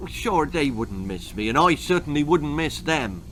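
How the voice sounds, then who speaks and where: A man's voice: a little high, big pitch swings, a little slow, a bit bright, slight background noise, low-quality recording. A man voicing a headstrong, untidy young girl in a children's story, speaking emphatically and full of protest. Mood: eager, amazed.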